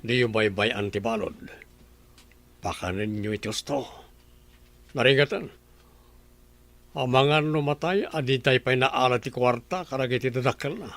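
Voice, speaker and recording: A man's voice: mid-range voice, moderate pace, pauses often, a bit bright, close to an everyday voice. A man voicing a greedy uncle who leads a kidnapping, giving orders in a gloating, sinister way in a radio drama. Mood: scheming.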